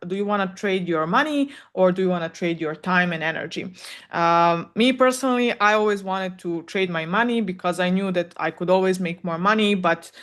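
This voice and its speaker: A woman's voice: medium pitch, fairly bright tone. Woman coaching, thinking aloud. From Serbia. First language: Serbian. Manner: candid, thinks aloud with frequent fillers. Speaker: animation content coach. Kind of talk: solo Q&A advice talk.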